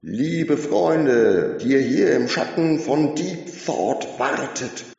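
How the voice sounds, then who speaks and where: A man voicing a jubilant male orator who rouses a crowd with loud, preaching speech. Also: lively intonation, takes it slowly, creaky, heavy reverb, in a large hall, dull audio. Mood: rousing. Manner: high, loud, preaching cheerleader delivery.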